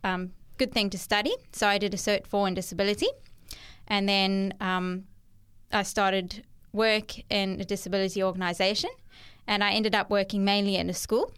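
Young South African woman, interview guest, very clear smooth tone, hesitant and reflective. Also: mid-range voice, steady tone, moderate pace, fairly bright tone. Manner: thoughtful, hesitant with fillers. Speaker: guest, ministry worker. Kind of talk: conversational interview.

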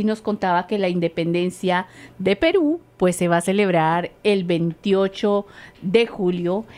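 A woman co-hosting a cultural program, explaining facts with frequent hesitations. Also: medium pitch. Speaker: co-host. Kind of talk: presenting facts.

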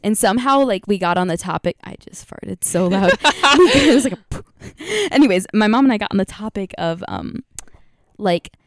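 A young woman chats to her viewers in a scratchy, gravelly voice, her throat worn out from singing. She rambles with fillers and laughs, recorded close and dry. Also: mid-range voice, animated, normal speed, no room sound. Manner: giggly, rambling with many fillers. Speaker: vlogger. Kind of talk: casual chat to viewers.

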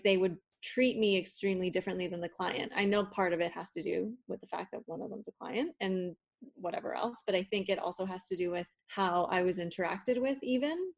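A young woman from Toronto with a Toronto accent, whose first language is Spanish, telling personal stories in a candid, casual way with swearing and acted-out quotes. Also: on the low side. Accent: Toronto English. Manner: candid storytelling with swearing, acting out quoted people. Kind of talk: casual conversation.

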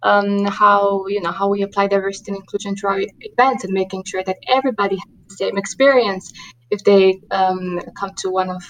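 Romanian woman, thirties, earnest interview guest, mid-pitched, lots of fillers. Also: level tone, fairly quick, slight background noise, poor audio. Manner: earnest, many fillers and restarts. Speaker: diversity and inclusion manager, guest. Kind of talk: podcast interview.

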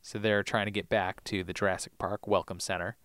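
A man's voice: low-pitched, flat delivery, fairly quick, a bit bright, no echo, studio quality. A man co-hosting a podcast, chatting casually and retelling scenes playfully. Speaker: podcast co-host. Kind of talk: casual chat, recapping TV episodes.

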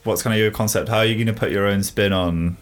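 A man's voice: lowish voice, fairly even intonation, fast talker. A man interviewing someone in a casual chat, informal and slangy, with encouraging responses.

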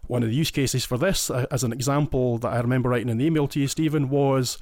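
A man's voice: mid-range voice, steady tone, fast talker, darkish tone, no room sound. A Scottish man talks in an easy, detailed, chatty way as a podcast guest. His voice sits in a middling register. The sound is dry and close, with no room around it. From Scotland. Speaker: guest listener. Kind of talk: casual explaining.

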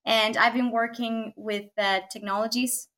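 A woman from Argentina, a technology consultant, speaking as a guest in a podcast interview, thoughtful, with frequent pauses and tag questions. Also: fairly high pitch, flat delivery, a bit bright. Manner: thoughtful, many fillers and restarts, frequent "right?".

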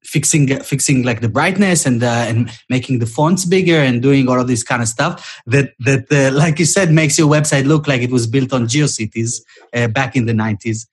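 A man from Israel sharing his view in a casual discussion, hesitating often. His voice is mid-pitched and somewhat husky. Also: moderate pace. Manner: hesitant, many fillers. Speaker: podcast panelist.

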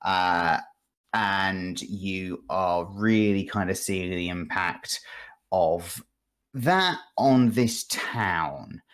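A man hosting a podcast, explaining things casually in a rambling, halting way with asides. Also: low voice, fairly bright tone. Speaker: podcast host. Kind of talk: casual video game review chat.

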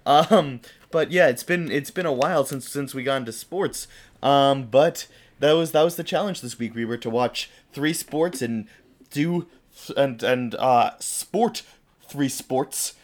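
A young man from the United States co-hosting a podcast in casual chat, hoarse from a cold, chatty and often restarting his sentences. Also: medium pitch, unhurried. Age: young adult. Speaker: podcast co-host.

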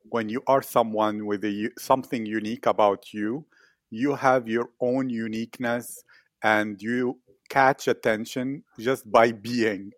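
A man's voice: mid-range voice, no room sound. A man hosting an interview, asking long, rambling, inquisitive questions. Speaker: host, interviewer. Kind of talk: podcast interview.

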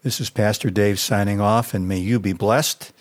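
A man with a fairly low voice and an American accent reads a scripted devotional as a pastor. His delivery is that of a prepared reflection on scripture, spoken directly to the listener. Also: fairly even intonation, moderate pace.

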